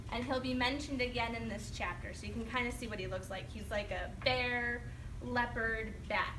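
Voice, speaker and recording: A woman's voice: on the high side, steady tone, moderate pace. A woman, a children's author, talking informally and encouragingly to a group of young children, in a conversational way. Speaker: children's author giving a reading. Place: public library, indoors with a group of children. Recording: noisy room, far from the mic. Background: clear room noise and children.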